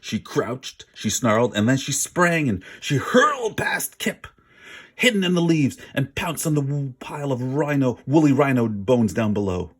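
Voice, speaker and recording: A man's voice: mid-range voice, big pitch swings, moderate pace, breathy edge. A man reading a children's story aloud with dramatic, emphatic word stress and an informal manner. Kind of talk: expressive read-aloud narration with casual asides.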